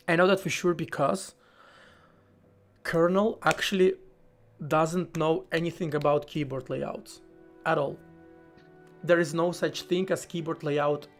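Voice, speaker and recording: A man with a slightly high, gravelly voice talks casually on a live stream as he codes. He thinks aloud, stopping and restarting his sentences and leaning on fillers. Soft music plays underneath. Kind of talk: casual live-stream talk while coding.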